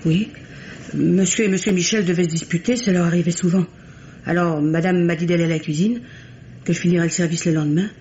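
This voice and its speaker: A woman's voice: lowish voice, fairly even intonation, fairly quick, mellow, lightly acted. A woman playing a nervous, deferential household maid, hesitant and simply spoken, in old French radio mystery acting. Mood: guarded, deferential. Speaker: radio actor. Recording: muffled, noisy old radio recording.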